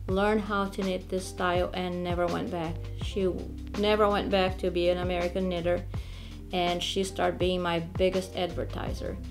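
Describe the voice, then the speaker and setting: Portuguese-speaking woman chatting over soft music. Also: medium pitch. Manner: warm, rambling, non-native grammar. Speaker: knitting teacher. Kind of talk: casual personal vlog, showing keepsakes.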